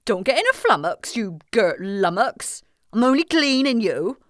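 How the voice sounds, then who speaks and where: A woman's voice: fairly high pitch, sing-song, measured pace, a bit bright, no room sound, studio quality. A woman playing a cheeky, forthright village woman who teases and challenges in an audio drama. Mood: playful teasing. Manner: playful, challenging.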